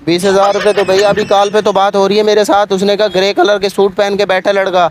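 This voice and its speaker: A man's voice: sits high, completely flat delivery, quick pace, crowd noise. A young Pakistani-accented man in his twenties pestering someone as a prankster, insistent and repetitive in his demands. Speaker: prankster in a street prank video. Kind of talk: staged confrontational prank chat. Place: public park, outdoors. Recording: noisy outdoor park recording, clicks.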